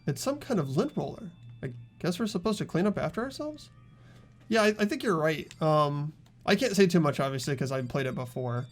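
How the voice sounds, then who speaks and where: A man's voice: a little high, expressive, brisk. A man hosting a casual group playthrough, talking hesitantly with filler words. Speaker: host running the playthrough. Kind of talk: casual game chat.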